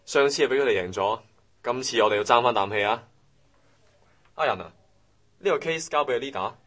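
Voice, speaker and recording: A man's voice: mid-range voice, brisk, a bit bright, natural voice. A man acting a proud, jealous young office worker in a Cantonese radio drama, with a Hong Kong accent and a grumbling, boastful way of talking. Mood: firm, businesslike.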